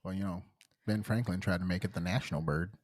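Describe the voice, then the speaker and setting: A man's voice: deep voice, steady tone, quick pace, no room sound, clean studio sound. A man hosting a casual podcast, joking around and asking his guest questions. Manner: joking, playful interviewer. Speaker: podcast host.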